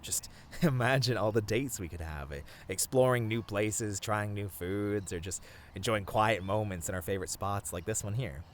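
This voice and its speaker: A man playing a tender, sappy boyfriend in a romantic ASMR roleplay, speaking softly and earnestly to the listener with small hesitant stammers. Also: on the low side, normal speed, a little hiss or hum, lightly acted. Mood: tender, adoring. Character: tender, sappy boyfriend confessing love on a picnic.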